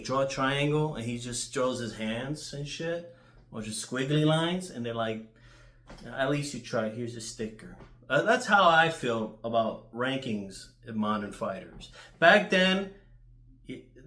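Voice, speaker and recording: A man's voice: medium pitch, pushed and bright. New dude chatting casually about his stuff, blunt and sweary, roomy echo on the sound. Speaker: YouTuber telling stories about video games. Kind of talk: casual rambling storytelling.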